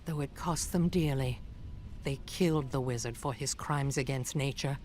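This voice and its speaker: Fantasy game role: grave warrior mother, low, earnest and measured, over noisy ambience. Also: a little mellow. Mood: ominous, solemn. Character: solemn mature warrior mother, grave and protective. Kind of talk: dramatic video game voice acting. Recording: game audio under noisy ambience and rumble.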